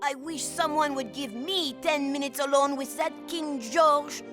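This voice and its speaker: A woman voicing a cheeky, brash little French boy in an animated series. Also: high voice, a little slow, over music. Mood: feisty. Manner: brash, feisty.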